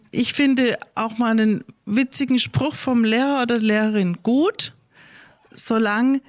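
A middle-aged woman, a school head, calmly answering pupils' questions and explaining things slowly and clearly for children. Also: a little high, no echo. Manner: slow, careful, clear wording for kids.

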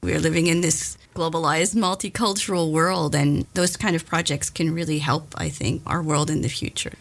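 A woman interviews a young writer and asks encouraging questions. Her voice sits a little low, and she often voices her admiration. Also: steady tone, moderate pace, creaky.

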